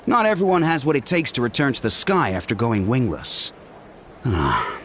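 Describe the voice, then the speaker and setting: A man's voice: mid-range voice, slight background noise, close to an everyday voice. A man playing an easygoing, contented young balloon operator in a video game, casual and earnest.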